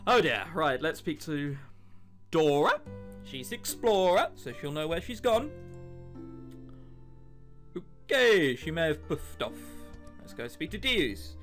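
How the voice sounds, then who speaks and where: A man's voice: on the high side, sing-song, takes it slowly, long pauses, fairly bright tone, soft background music. A man giving casual, sarcastic let's play commentary, joking and swearing as he plays and reads a visual novel. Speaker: video game let's player. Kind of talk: casual gameplay commentary, reading visual novel text aloud.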